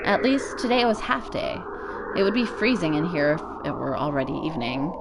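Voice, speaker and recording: A woman's voice: deep voice, big pitch swings, brisk, creaky, background noise. A woman giving a spontaneous dramatic reading of a visual novel as a Let's Play narrator.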